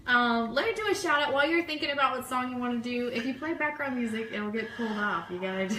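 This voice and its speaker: A chatty, enthusiastic woman co-hosting a casual livestream radio show, reading out requests and shout-outs. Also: on the high side, expressive, fast. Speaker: co-host of an online fan radio show. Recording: roomy echo, clear background noise.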